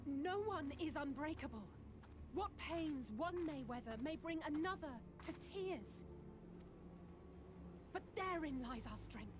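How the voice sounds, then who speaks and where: A woman's voice: high-pitched, lively intonation, takes it slowly. A woman playing a steadfast, hopeful heroine in a video game, speaking earnestly and formally. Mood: resolute. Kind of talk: dramatic video game acting. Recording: clear ambient noise behind.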